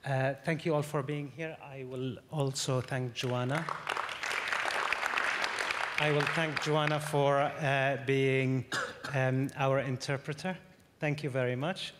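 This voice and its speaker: A man's voice: medium pitch, fairly even intonation, slow, over a noisy crowd, very noisy. Hesitant man moderating a panel. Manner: hesitant, many fillers. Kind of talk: introducing panelists. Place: large conference hall. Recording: reverberant hall with audience.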